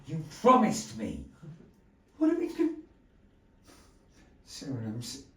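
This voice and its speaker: A man's voice: mid-range voice, huge pitch swings, slow, bright, forceful tone. A man acting as master of ceremonies at a puppet show in a gallery, compering with flamboyant, mock-dramatic showmanship. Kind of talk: theatrical stage compering. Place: indoor gallery venue. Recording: roomy, distant, faint background noise.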